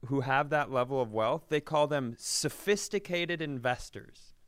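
A man's voice: mid-range voice, unhurried, clear, resonant tone, no echo. A man hosting a comedy podcast, telling stories casually in a dry, self-deprecating way. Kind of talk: casual comic banter.

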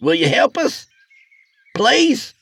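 A man's voice: high-pitched, big pitch swings, slow pace, vocal fry, a bit bright, husky, dry recording, pitched above the performer's own voice, exaggerated voice. A man voicing a hapless, timid country fellow who is whiny, folksy and pleading, in an audiobook story.

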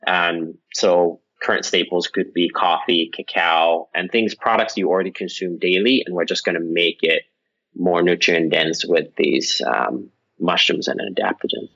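Very deep-voiced man from Finland. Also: moderate pace, creaky, muffled recording. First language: Finnish. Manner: relaxed, rambling with fillers and restarts. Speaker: company founder, guest. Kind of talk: casual interview answers.

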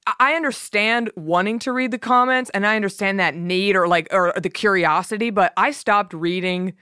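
Deep-voiced woman, animated chat. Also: moderate pace, clear, resonant tone, dry recording, clean studio sound. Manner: animated, voices her own thoughts in playful high asides. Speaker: podcast guest. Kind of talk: casual chat.

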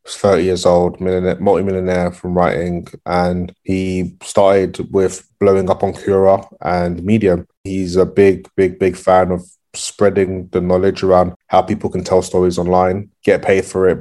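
Deep-voiced, even-toned young man. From Congo. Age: 20s. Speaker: interview guest, entrepreneur. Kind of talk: conversational interview.

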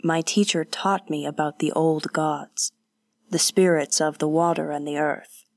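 Een vrouw die speelt een onhandige jonge krijger. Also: female voice, sits low, steady tone, measured pace, no room sound. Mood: earnest. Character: young male warrior, awkward and earnest.

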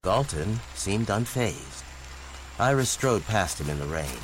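A man's voice: fairly low pitch, expressive, unhurried, slight background noise, low-quality recording. A man performing as the suspenseful narrator of a horror audio drama, in a dramatic scripted style. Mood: tense, suspenseful. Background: audio drama sound effects and ambience.

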